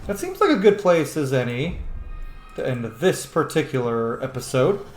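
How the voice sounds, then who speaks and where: A man's voice: mid-range voice, very animated, moderate pace. Male gaming host, casual and self-mocking, swears freely; slightly roomy recording with music behind. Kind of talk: casual game commentary.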